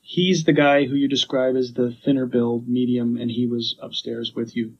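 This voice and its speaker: Calm husky man detective, dull recording. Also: medium pitch, normal speed. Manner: calm, methodical questioning. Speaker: police detective. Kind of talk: police interview. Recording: dull archival interview recording.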